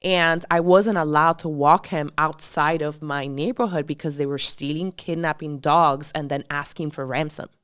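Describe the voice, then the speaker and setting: A woman in her thirties from Colombia, talking as a podcast co-host. Her voice sits low and sounds smooth and clean. She talks in a chatty, emphatic way, full of fillers, on a dry recording. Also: fairly even intonation, moderate pace, hardly any pauses, fairly bright tone, dry recording. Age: 30s. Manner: chatty and emphatic, many "like" and "you know" fillers. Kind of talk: casual podcast chat.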